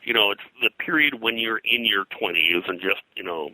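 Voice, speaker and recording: An older man reminiscing as an interview guest, rambling and halting, often repeating words as he goes. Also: on the low side, animated, bright, forceful tone, dry recording, rough recording. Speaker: interview guest, pop culture historian and pulp publisher.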